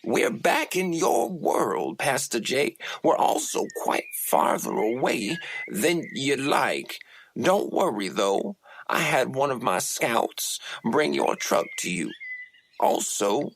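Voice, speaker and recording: Guy putting on a gravelly slow swoopy preacher creature, folksy and eerie, comedy podcast, faint music. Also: medium pitch, sing-song, a little hiss or hum, poor audio. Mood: genial, eerie. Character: slithering, genial, eerie underworld creature parodying a televangelist.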